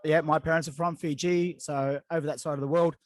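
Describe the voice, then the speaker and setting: A man hosting a casual podcast interview, relaxed and rambling with lots of fillers. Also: a little high, fairly quick, no echo. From Australia. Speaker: podcast host. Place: living in Dubai.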